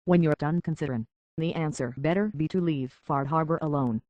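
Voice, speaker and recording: An actor voices a plainspoken survivor of a rough harbor town for a video game. The delivery is terse, guarded and folksy. The sound is dry and slightly dull. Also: mid-range voice, a little fast, darkish tone, no room sound, close to an everyday voice. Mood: guarded, stern. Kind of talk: video game character acting.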